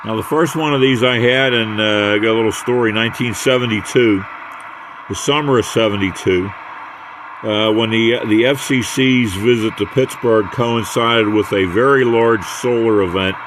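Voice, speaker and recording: An older American man giving a casual hands-on equipment demonstration in a halting, unhurried way. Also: lowish voice, background noise. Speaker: radio hobbyist demonstrating equipment.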